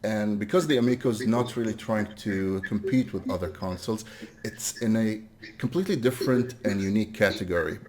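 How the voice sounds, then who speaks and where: A man with a somewhat deep voice conducts an interview. He speaks conversationally, in a measured way, putting questions and compliments to his guest. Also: a little mellow, a little hiss or hum.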